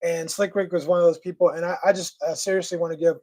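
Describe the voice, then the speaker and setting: A man's voice: a little high, little pitch movement, fast talker, darkish tone. Male co-host of a call-in livestream. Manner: restarts often, emphatic and friendly. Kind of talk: casual call-in livestream chat.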